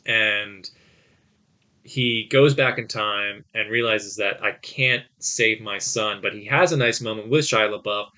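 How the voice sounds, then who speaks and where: A man co-hosting a podcast, giving a casual movie review in a halting, rambling storytelling way with acted-out quotes. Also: on the low side, pushed and bright. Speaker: podcast co-host.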